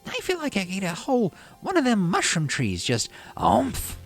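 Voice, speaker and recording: A man's voice: sits high, huge pitch swings, normal speed, vocal fry, soft background music, voice pushed up, full character voice. A man voicing a brash, boisterous schoolgirl colonist in a sci-fi visual novel game. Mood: hungry. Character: energetic hungry schoolgirl colonist, brash and loud.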